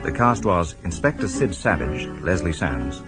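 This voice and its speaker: A man with a very low voice announces in scripted radio style. His delivery has a lot of melodic movement, and plucked guitar music plays clearly behind him. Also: very animated, moderate pace. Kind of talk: scripted broadcast cast announcement.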